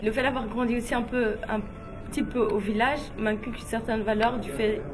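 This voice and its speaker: A young woman from Guinea telling personal stories in a relaxed, chatty way, with frequent fillers and light laughs. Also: fairly high pitch, fairly quick, over music. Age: young adult. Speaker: interviewee, beauty pageant runner-up.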